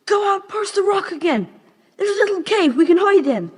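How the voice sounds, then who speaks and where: A woman's voice: very high-pitched, lively intonation, moderate pace. A woman playing a plucky, earnest young local child in a children's audio adventure. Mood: eager, urgent. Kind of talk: children's audio play acting. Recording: long reverb, some noise.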